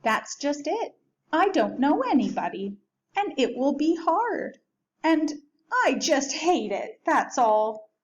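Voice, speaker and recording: A woman's voice: high voice, very animated, slow pace, highs cut off, pitched above the performer's own voice, exaggerated voice. A woman voicing a nervous, reluctant storybook character who whines and protests about a new school. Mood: miserable. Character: reluctant, whiny, nervous Sarah dreading her new school.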